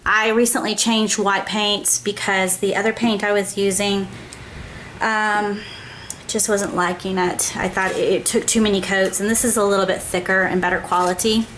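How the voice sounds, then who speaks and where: A woman hosting her own craft show, walking viewers through a project step by step in a casual, chatty way and often checking in with a quick "okay?". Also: mid-range voice, flat delivery, a bit bright, a little hiss or hum, rough recording.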